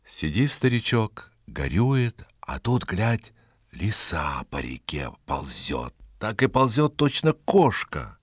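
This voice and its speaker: Deep-voiced, animated male storyteller. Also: slow pace, husky, dry recording, clean studio sound. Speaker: narrator. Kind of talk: expressive children's story narration.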